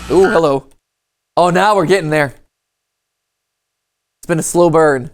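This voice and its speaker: A man's voice: on the high side, unhurried. Male host for casual reaction commentary, playful and joking, conversational delivery.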